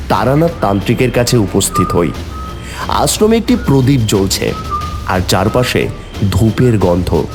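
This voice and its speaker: A man playing an earnest, brave young hero who tells and acts out a horror cartoon story. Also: mid-range voice. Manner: dramatic, earnest first-person storytelling. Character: brave, earnest young man seeking his dead lover. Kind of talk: horror cartoon narration and dialogue. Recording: music behind the voice.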